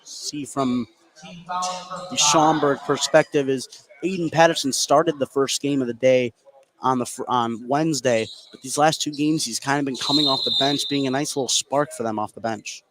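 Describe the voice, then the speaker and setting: A man's voice: mid-range voice, fairly even intonation, moderate pace, over a noisy crowd. A man giving conversational, explanatory color commentary on a live basketball game.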